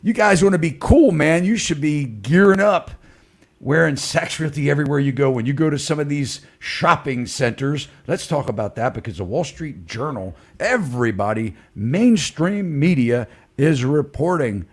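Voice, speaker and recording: Man, Baltimore accent, lively swooping pitch, husky voice, casual live stream host. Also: normal speed. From Baltimore, USA. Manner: animated, joking, says "man" often.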